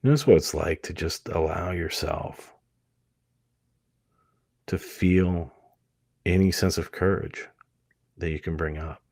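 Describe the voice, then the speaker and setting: A man leading a guided hypnosis meditation, speaking gently and soothingly in short phrases with many pauses. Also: deep voice, flat delivery, vocal fry, no echo, studio quality.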